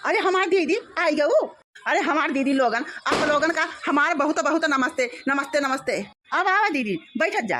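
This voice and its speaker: A woman's voice: sits high, lively intonation, moderate pace, background noise. A woman with an Awadhi accent voicing a flustered, fawning village mother-in-law in a comedy cartoon, talking effusively and repetitively. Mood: effusive, flattering. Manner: effusive, repetitive, swinging to shouted orders. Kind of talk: rural family comedy cartoon.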